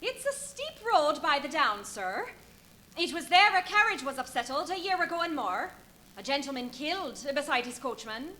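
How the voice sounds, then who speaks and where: Shrill, fussy innkeeper's wife. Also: female voice, very animated, moderate pace, sharp tone, full character voice. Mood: deferential, chatty. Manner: gossipy, exclamatory, deferential to guests, scolding the maid. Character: chatty, fussy, bossy English village innkeeper's wife. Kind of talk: live radio play acting.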